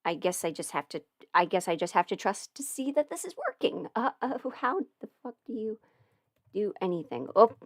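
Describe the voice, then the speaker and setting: A woman's voice: mid-range voice, sing-song, normal speed, no echo, clean studio sound. A woman chatting casually on a livestream from home, rambling and muttering to herself as she fiddles with settings. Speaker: audio performer streaming live. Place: at home, alone in her house. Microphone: studio mic through an audio interface.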